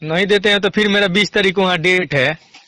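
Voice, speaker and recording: A man with a Bihari accent chatting casually on the phone as a caller, deferential and quick to agree. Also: high voice, bright, forceful tone, no echo. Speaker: caller with a grievance.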